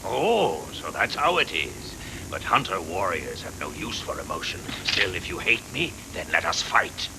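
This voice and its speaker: A man's voice: sing-song, a little slow, creaky, exaggerated voice. A man voicing a ruthless, gloating hunter-warrior villain in an anime dub, taunting in formal, theatrical phrases. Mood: cool, taunting. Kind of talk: dubbed anime voice acting. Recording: noisy old VHS tape, rumbling effects.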